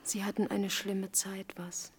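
An actress playing a naive, blunt young survivor in a German radio play, speaking in a hushed, plain, questioning way. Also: female voice, mid-range voice, level tone, unhurried, breathy edge. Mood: quietly remembering. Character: Marischka, naive, blunt young girl, later remembering survivor.

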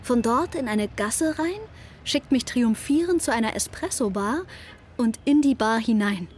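Reflective, higher-voiced young narrator. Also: female voice, lively intonation, measured pace, a little mellow, a little hiss or hum. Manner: reflective, literary. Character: introspective daughter narrating her own journey. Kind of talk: first-person radio drama narration.

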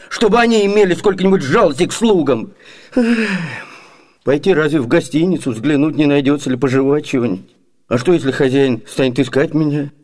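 An actor playing a hungry, wily, chatty comic servant in a theatrical radio comedy, expressive and eager. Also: male voice, fairly high pitch. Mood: indignant, then grumbling, plaintive.

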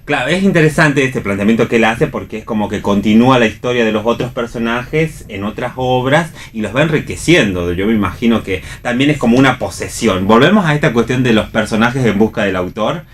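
A man's voice: mid-range voice, expressive, fairly quick, few pauses, slight background noise. An Argentine man talking casually in a literary discussion, as a panelist on a radio show.

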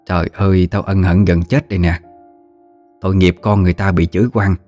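Man voicing a blunt old Southern Vietnamese countrywoman, low-pitched. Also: level tone, brisk, dark tone, close to an everyday voice. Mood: remorseful, flustered. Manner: blunt, exclamatory, folksy. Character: feisty old countrywoman, blunt, easily amazed, great-aunt. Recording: music behind the voice.

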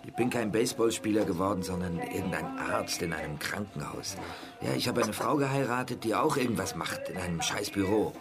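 An actor playing a bitter, resigned middle-aged doctor in a naturalistic German radio crime drama. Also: male voice, on the low side, brisk, lightly acted. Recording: music under the dialogue.

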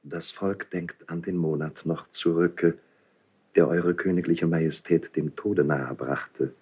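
A man's voice: low voice, steady tone, slow, a little mellow, husky, lo-fi sound, heavily acted. A man acting an insinuating, obsequious royal priest in a 1970s German radio play, solemn and classical in delivery. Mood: sly, insistent. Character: insinuating, unctuous royal priest, feigning reluctance while accusing.

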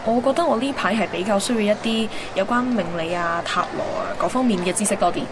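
A woman's voice: mid-range voice, fast talker, background noise, close to an everyday voice. A woman playing a young, guarded and wry Hong Kong working woman in a Cantonese radio drama, reflective and slipping in English words. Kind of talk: radio drama narration and dialogue. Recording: background music under the voice.

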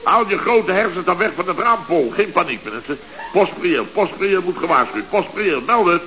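Blustering contractor boss, Netherlands Dutch accent, fairly high forceful voice, commanding, exasperated, radio comedy. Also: male voice, expressive, normal speed. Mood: blustering, urgent. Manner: exasperated, commanding, exclamatory, sputtering. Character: bossy, blustering, easily panicked building contractor boss. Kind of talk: 1970s radio comedy acting. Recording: dull, hissy old radio recording.

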